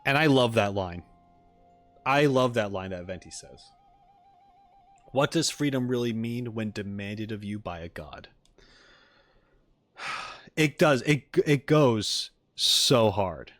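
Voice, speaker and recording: Animated man chatting on a livestream. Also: medium pitch, no echo, studio quality. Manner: animated, rambling, joking. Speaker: streamer reacting to game videos. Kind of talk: casual livestream chat.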